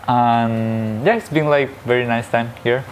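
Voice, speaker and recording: A man's voice: medium pitch, fairly even intonation, unhurried, slight background noise. Nervous young man. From Colombia. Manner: apologetic, rambling. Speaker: student guest. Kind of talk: casual interview answers.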